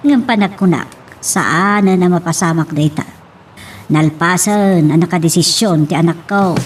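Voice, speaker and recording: A woman's voice: on the low side, measured pace, far from the mic, close to an everyday voice. A woman with an Ilocano accent acting a hurt, weary but resolute young mother in a love drama. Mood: sad, resolute.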